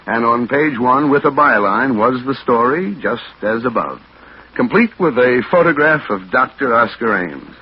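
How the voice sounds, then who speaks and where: An actor playing a wry, sharp American newspaper reporter who tells his spy-hunting story in clipped mid-century radio drama style. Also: medium pitch, expressive, normal speed, slightly rough. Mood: wry narrating. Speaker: lead actor and first-person narrator. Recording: dull, noisy vintage radio recording.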